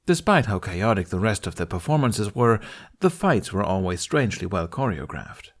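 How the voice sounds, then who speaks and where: Guy with a lowish voice reading a story out loud, scripted narration. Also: very animated, moderate pace. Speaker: fan fiction podcast narrator.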